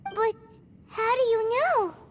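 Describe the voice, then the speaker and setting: A woman voicing a tiny childlike companion sprite in a game, squeaky, wide-eyed and exclamatory. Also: slow pace. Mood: puzzled. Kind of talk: dubbed game cutscene dialogue. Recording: music clearly under the voice.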